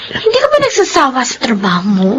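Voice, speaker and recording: An actress in a Filipino radio drama playing an eager, caring older sister and devoted daughter with expressive delivery. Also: female voice, fairly high pitch, quick pace, slight background noise, poor audio. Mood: impatient, coaxing.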